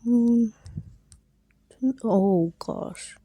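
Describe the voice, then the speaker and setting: Young woman, casual drawing chat, breathy whisper, dry close sound. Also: on the high side, drawn-out words, no echo. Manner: soft, often whispering, self-deprecating. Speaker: drawing video creator.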